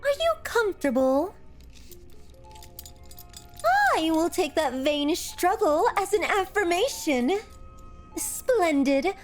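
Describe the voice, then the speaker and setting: Yandere artist kidnapper for ASMR roleplay: very high voice, unhurried, genteel and theatrical, menacingly adoring, music prominent underneath. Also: female voice, very animated, exaggerated voice. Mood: courteous, menacing. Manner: florid, theatrical, formal diction, lingering over words. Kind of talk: scripted ASMR roleplay monologue.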